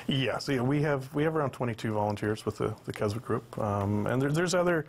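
A man's voice: medium pitch, animated. A man in his forties, a volunteer organizer, eagerly answering interview questions in a TV studio. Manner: eager, agreeable, quick affirmations. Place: local TV studio set.

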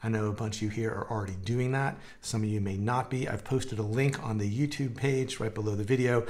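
A man in his forties teaching a guitar lesson in a relaxed, conversational way, his voice a little gravelly from a dry throat. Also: lowish voice, brisk. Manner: relaxed, conversational, explaining with hand gestures and demonstrating. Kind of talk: live-streamed guitar lesson. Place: home music room with guitars on the walls.

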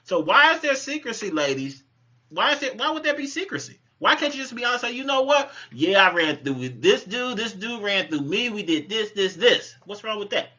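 Guy in his 30s, teasing, laughs a lot, bright voice, lo-fi webcam sound from the kitchen. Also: high voice, very animated, fairly quick. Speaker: co-host. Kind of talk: casual live-stream chat. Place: home kitchen, indoors. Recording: muffled lo-fi webcam audio.

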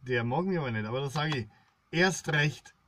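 A man's voice: fairly high pitch, expressive, normal speed, noisy background. A middle-aged man rambling casually to his viewers in a colloquial, disjointed monologue. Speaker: vlogger presenting music. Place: small dim room, indoors, seated at a table.

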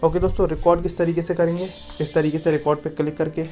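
Higher-pitched Indian man over music. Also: flat delivery, fast, darkish tone. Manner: repetitive, addresses viewers as friends. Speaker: YouTube app reviewer, host. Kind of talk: casual tutorial voice-over. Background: background music.

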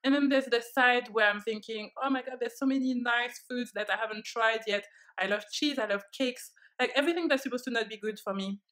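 A woman's voice: a little high, brisk, fairly bright tone. A young woman with a French accent, talking to camera from her living room in a candid, reflective way with frequent fillers. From France. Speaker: vlogger. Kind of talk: casual talk to camera. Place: living room, seated on a leather sofa.

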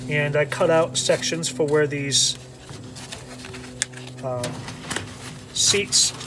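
A man casually walking the viewer through his gear outdoors, relaxed and chatty with frequent ums and restarts, recorded on a handheld camera. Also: a little high, noisy background. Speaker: outdoors vlogger. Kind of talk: casual show-and-tell walkthrough. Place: outdoors beside a canoe.

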